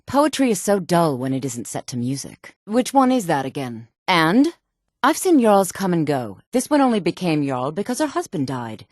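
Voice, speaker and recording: A woman voicing a sultry, sharp-tongued, disdainful townswoman and courtier in a fantasy video game. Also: fairly low pitch, very animated, moderate pace, no echo, studio quality. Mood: haughty, sardonic. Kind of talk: video game character dialogue.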